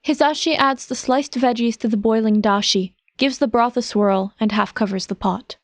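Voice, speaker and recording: A woman's voice: a little high, moderate pace, no room sound. Woman narrating fiction, dry close sound. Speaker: podfic narrator. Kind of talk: scripted narration, reading fiction aloud.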